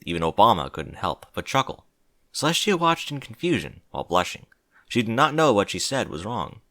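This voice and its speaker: A man's voice: on the low side, sing-song, brisk, sharp tone, dry recording, clean studio sound. A man narrating a scripted fantasy story. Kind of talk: scripted fan fiction narration.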